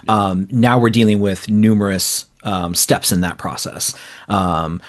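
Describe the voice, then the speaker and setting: A man giving casual, explanatory interview answers in long, rambling, informal runs as a guest. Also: on the low side. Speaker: interview guest, small indie publisher.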